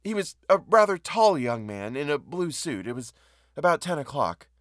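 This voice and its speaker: Man as jilted young woman, anxious, proud, hesitant, pleading, raised pitch, storybook reading, close dry sound. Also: very animated, moderate pace, fairly bright tone, no echo. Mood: urgent pleading. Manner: pleading, hesitant, breaking off mid-sentence.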